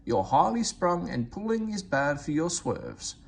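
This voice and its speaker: Man putting on a vain, fussy lady railcar, swooping high. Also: sing-song, a little slow, creaky, husky, full character voice. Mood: self-important. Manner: indignant, self-important, emphatic. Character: vain, fussy, haughty female diesel railcar. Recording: faint background noise.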